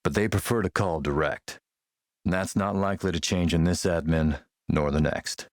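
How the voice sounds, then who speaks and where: A man playing a smooth, menacing military warlord and arms dealer in a video game, suave, commanding and measured. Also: low voice, steady tone, dry recording, clean studio sound, close to an everyday voice. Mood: measured, businesslike. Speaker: villain character in an action role-playing game. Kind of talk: acted video game dialogue.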